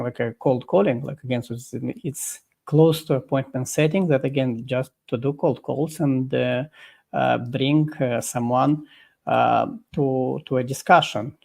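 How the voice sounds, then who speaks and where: A man being interviewed as a guest over a video call, explaining at length in a halting way full of fillers and restarts. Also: medium pitch, darkish tone. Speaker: guest expert.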